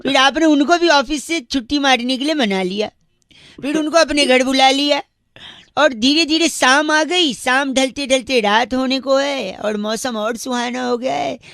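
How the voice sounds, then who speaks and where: A man's voice: very high voice, a little slow, vocal fry, sharp tone, dry recording, clean studio sound. A man hosting radio prank calls with playful comic banter, teasing with riddles and often cutting in. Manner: teasing, riddling, keeps interrupting. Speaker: radio prank caller and host.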